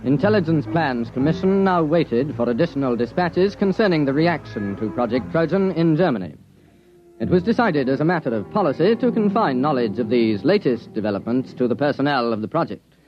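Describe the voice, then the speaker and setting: Guy doing serious old radio narrator, formal, slightly high voice, music behind, muffled. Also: animated, fairly quick, natural voice. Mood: solemn. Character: solemn male documentary-style military narrator.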